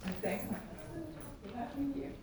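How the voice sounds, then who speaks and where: A woman from Switzerland, a new citizen, speaking slowly and deliberately, with a wry touch. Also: high voice, lively intonation, very noisy. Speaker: new citizen giving thanks. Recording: distant echoey hall with crowd.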